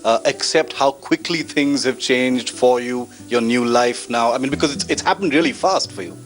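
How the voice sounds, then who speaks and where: A man's voice: medium pitch, moderate pace, soft background music. A man hosting a television interview, asking effusive, rambling questions. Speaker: interviewer, TV host.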